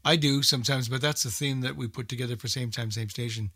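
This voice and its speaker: A man's voice: medium pitch, steady tone, quick pace, sharp tone, slightly rough, no room sound. A man hosting a radio show in casual panel chat, with dry, bantering remarks.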